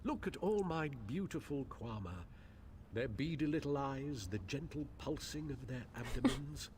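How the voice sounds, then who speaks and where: An actor playing an effusive, doting mine keeper in a fantasy video game. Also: male voice, mid-range voice, lively intonation, moderate pace, natural voice. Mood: adoring. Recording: noisy game audio with ambience.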